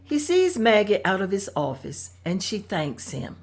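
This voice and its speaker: A woman's voice: mid-range voice, huge pitch swings, a little slow. A woman reading out scene directions at a script table read in a plain, descriptive manner.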